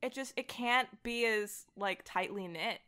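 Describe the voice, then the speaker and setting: A woman's voice: fairly high pitch, measured pace, pushed and bright, clean tone. A woman talking as a podcast guest in an informal, enthusiastic conversation. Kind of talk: casual chat.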